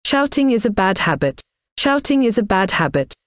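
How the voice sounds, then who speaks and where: A woman acts a scolding cartoon mother with an emphatic manner. Her medium-pitched voice moves up and down a lot, recorded dry with light music. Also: very animated, moderate pace, no echo, lightly acted. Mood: admonishing. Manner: admonishing, emphatic. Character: scolding mother of a foolish grown son. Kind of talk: scripted cartoon dialogue.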